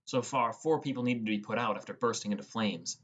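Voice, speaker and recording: A man's voice: medium pitch, brisk, fairly bright tone, muffled recording. A young man reading scripted first-person narration as an audiobook narrator.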